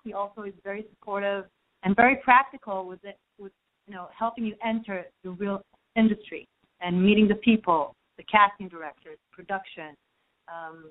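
Israeli-born woman on a tinny phone line. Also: medium pitch. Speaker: acting student caller. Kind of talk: casual phone interview. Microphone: telephone.

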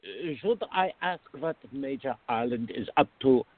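A man playing an exasperated, excitable, easily offended friend in a radio drama, complaining with indignant, drawn-out emphasis. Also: medium pitch, dry recording. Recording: thin, phone-like band-limited audio.

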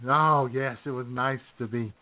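A man's voice: mid-range voice, steady tone, moderate pace. Laid-back man on a thin phone line. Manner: laid-back, hesitant, rambling. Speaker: talk show co-host. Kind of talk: casual chat and banter.

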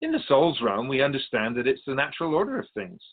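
A man playing a serene, wise otherworldly keeper of souls in a radio drama, speaking in a measured, formal, instructive manner. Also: medium pitch, huge pitch swings, narrow, tinny audio.

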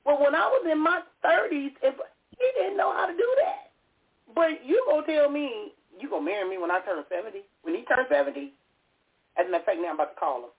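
A woman's voice: high voice, fast. A woman in her fifties hosting a talk show, chatting casually with a teasing, laughing manner. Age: 50s. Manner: teasing, laughing, animated storytelling. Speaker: radio show host. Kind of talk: casual chat. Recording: tinny phone-line sound.

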